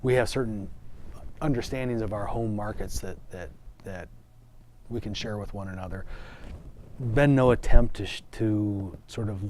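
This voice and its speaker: A man's voice: lowish voice, normal speed, a little mellow. A man explaining business and products in an interview as a company executive, hesitating and repeating words as he goes. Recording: faint background noise.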